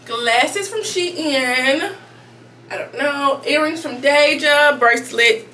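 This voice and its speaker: A woman's voice: sits high, slow pace, pushed and bright, rough recording. A young woman in her twenties talking casually and playfully to her viewers with slang, filming herself at home. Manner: laid-back, slangy, playful. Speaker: vlogger. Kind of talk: casual vlog chat. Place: small home bathroom. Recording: background noise.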